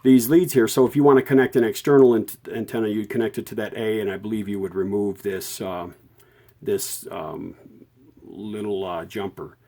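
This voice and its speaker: A man hosting an informal repair tutorial, explaining technical details off the cuff in a halting way and often correcting himself. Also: on the low side, steady tone, warm tone. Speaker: host of a vintage radio repair tutorial. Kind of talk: unscripted hands-on technical explanation.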